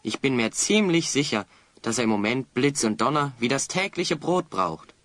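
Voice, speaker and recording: A man's voice: medium pitch, sing-song, moderate pace, fairly bright tone, no room sound. A man playing a keen, encouraging hero who leads his friends in a German children's audio play, reasoning and urging.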